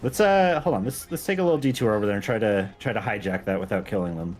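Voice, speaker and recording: Laid-back lowish-voiced guy on a game stream. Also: fast talker, a little mellow. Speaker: mod creator guesting on a stream. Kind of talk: casual gameplay chat. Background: game music.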